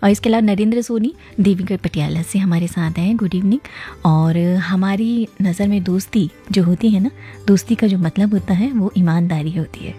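A woman with a hoarse, mellow voice hosts a live message show, chatting directly to her listeners and reading their notes aloud. She keeps checking in with a friendly "hai na" as she talks. Faint music plays underneath. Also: medium pitch, moderate pace. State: hoarse from a viral illness. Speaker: host of a message-based request show.

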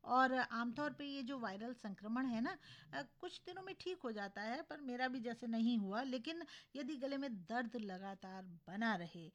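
A woman radio co-host with a sore, scratchy throat, explaining health matters in a relaxed conversational way. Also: fairly high pitch, unhurried, few pauses, lo-fi sound. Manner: explanatory, conversational, addresses listeners. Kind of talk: casual radio health chat.

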